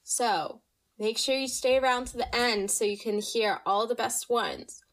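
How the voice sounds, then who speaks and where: A woman's voice: fairly high pitch, a little slow, rough recording. A young woman hosting a podcast, chatting casually and reading listener stories, her voice slightly nasal from allergies. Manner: chatty, animated, reads listener stories aloud. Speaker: podcast host. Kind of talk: casual storytime chat.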